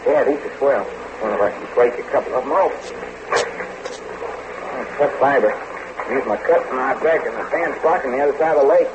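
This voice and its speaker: A man's voice: mid-range voice, brisk, pauses often, highs cut off, natural voice. A man playing an easygoing, folksy detective adventurer with a drawling, colloquial way of talking in a radio serial. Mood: cheerful. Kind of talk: 1940s radio serial acting. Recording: noisy old radio recording.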